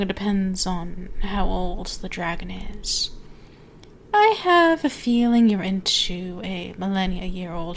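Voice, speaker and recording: A woman plays an ancient dragoness in an intimate ASMR roleplay. She speaks slowly, teasing and dominant, often sinking toward a whisper. A clear layer of background ambience sits behind the voice. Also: mid-range voice, a little hiss or hum. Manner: slow, teasing, drawn-out, often dropping to whispers.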